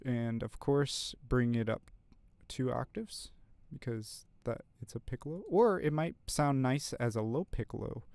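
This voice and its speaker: A man's voice: mid-range voice, measured pace, creaky, a little mellow, no room sound. Man thinking aloud while composing. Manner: thinks aloud, many fillers and trailing half-sentences; talks to chat, reads out viewer comments. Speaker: composer streaming his work. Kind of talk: casual live-stream commentary while composing.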